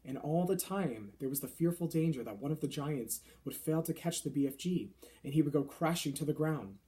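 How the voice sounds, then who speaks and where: A man's voice: on the high side, animated, brisk, dark tone. A man reading a children's novel aloud as scripted narration. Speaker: storybook reader.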